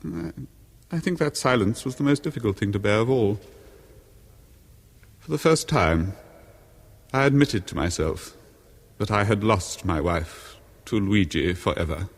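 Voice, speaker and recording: Jealous haunted husband in an old horror radio play, really slow halting delivery, big cavernous reverb. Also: male voice, fairly low pitch, expressive, vocal fry, exaggerated voice. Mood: uneasy, halting. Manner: halting, theatrical, brooding storytelling. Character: haunted jealous husband confessing a murderous plot. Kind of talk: 1970s horror radio drama acting.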